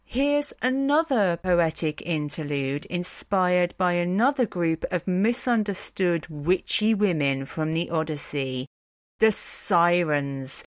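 A woman's voice: fairly low pitch, expressive, slow pace, dry recording. Wry woman podcast host, dry close sound. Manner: wry, informal asides. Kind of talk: conversational commentary on myth and books.

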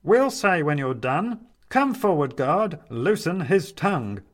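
A man voicing a big toy henchman who speaks solemnly in slow rhyming chant. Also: fairly high pitch, very animated, voice pushed up.

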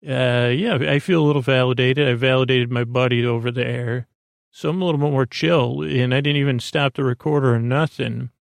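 A man hosting a bedtime podcast in a creaky voice, rambling in a meandering, hesitant way with frequent stammers. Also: medium pitch, steady tone, fairly quick, no room sound, studio quality. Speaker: podcast host.

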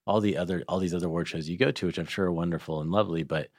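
Low-voiced American man hosting an interview. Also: steady tone, rapid-fire, no echo, studio quality. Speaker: interviewer, podcast host. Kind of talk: casual interview chat. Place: studio.

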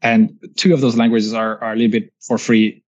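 A man's voice: fairly low pitch, level tone, fairly quick, a little mellow. Swedish-accented man, dull lo-fi audio. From Sweden. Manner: reflective, chatty, frequent restarts and "right?" tags. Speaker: guest. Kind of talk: casual interview conversation.

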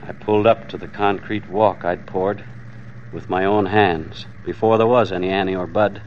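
A man's voice: deep voice, steady tone, moderate pace, a little hiss or hum, close to an everyday voice. An American actor playing a weary, hard-boiled family man narrating his hostage ordeal in an old-time radio drama. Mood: grim, brooding. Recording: dull old radio recording with music.

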